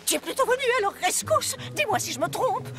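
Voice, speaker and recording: A woman's voice: extremely high pitch, very animated, fast talker, full character voice. A woman playing a gloating, commanding old witch in a French cartoon dub, with a theatrical delivery. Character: gloating old witch posing as a kindly grandmother. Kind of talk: French cartoon dub acting, partly sung. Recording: loud music behind the voice.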